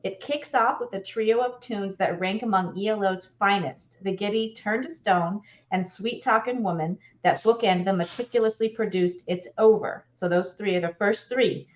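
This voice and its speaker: A woman's voice: medium pitch, normal speed, hardly any pauses. A middle-aged woman co-hosting a casual live show from a room at home on a video call. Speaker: co-host. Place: furnished room at home, indoors. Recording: slightly dull video-call audio.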